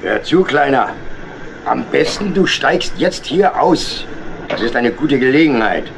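A man's voice: medium pitch, big pitch swings, a little slow, vocal fry, background noise. A man playing a jovial, kindly steam locomotive driver in a German children's audio play, with a hearty manner. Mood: kindly, regretful. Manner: hearty, calling out, chuckling.

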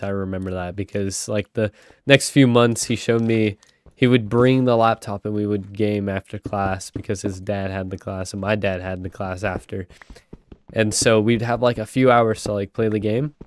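A man's voice: fairly low pitch, dry recording. A young man with a stuffy, nasal voice from a cold, rambling casually through gameplay commentary. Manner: rambling, chatty, with sudden excited outbursts. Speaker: gaming channel host.

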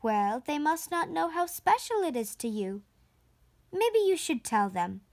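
A woman voicing a gentle, patient, reassuring mother elephant in a storybook. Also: high voice, big pitch swings, measured pace, no room sound, heavily acted.

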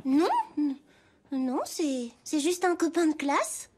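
A woman's voice: sits high, takes it slowly, darkish tone, soft background music. A woman voicing an earnest, caring schoolgirl in a cartoon, youthful in manner. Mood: flustered. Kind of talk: French cartoon dub acting.

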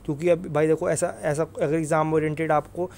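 A man's voice: fairly high pitch, flat delivery, brisk, creaky, a little mellow. A man giving an informal, emphatic explanation as an exam-prep presenter, often repeating tag phrases. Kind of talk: informal explainer over screen recording.

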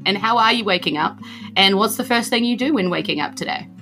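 A New Zealand woman runs a tabletop fantasy game with a bright, forward voice. She improvises her narration as dungeon master, over faint music. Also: mid-range voice, animated, fast talker.

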